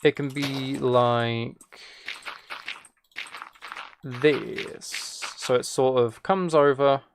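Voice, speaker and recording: A man's voice: medium pitch, fairly even intonation, very slow, vocal fry, background noise. A man chatting casually while he plays a game, thinking aloud in a rambling, playfully self-mocking way. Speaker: streamer.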